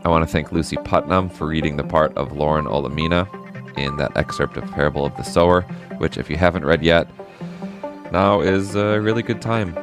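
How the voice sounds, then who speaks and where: A man's voice: extremely low pitch, brisk, over music. A man hosting a podcast, reading an outro to listeners. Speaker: podcast host.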